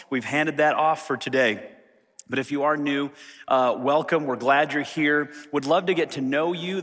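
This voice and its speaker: A pastor preaching a casual, friendly sermon to his congregation, his throat a little raspy after an illness. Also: mid-range voice. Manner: friendly, conversational, lively. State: slightly raspy throat after being sick. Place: church sanctuary. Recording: reverberant hall.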